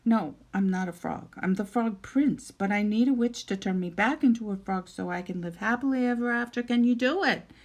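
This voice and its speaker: A woman's voice: mid-range voice, moderate pace, hardly any pauses, lightly acted. A woman voicing a young fairytale prince who is earnest, polite and insistent, and easily flustered.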